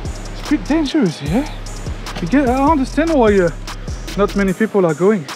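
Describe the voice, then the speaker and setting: A man in his thirties from France, vlogging casually outdoors on a jungle trail with a handheld action camera, chatty and excitable, sweaty and a little out of breath from climbing. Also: high-pitched, normal speed, over music. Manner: chatty and excitable, talks to viewers as guys, swears. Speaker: travel vlogger. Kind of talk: casual vlog commentary. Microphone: handheld action camera at arm's length.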